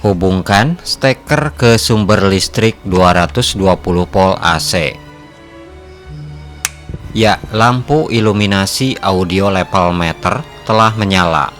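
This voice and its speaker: A man reading scripted narration for a repair tutorial in a measured, formal, instructional way. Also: deep voice, a bit bright, soft background music. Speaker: host of an electronics repair channel.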